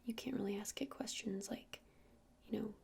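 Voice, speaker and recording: A woman whispering softly and breathily in an ASMR roleplay as a friendly witch shopkeeper, explaining things in a gentle, hesitant, rambling way. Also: mid-range voice, steady tone, normal speed, slight background noise. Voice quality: breathy unvoiced whisper with creaky edges. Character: friendly witch shopkeeper selling crystals and incense. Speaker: ASMR roleplayer playing a crystal shop witch.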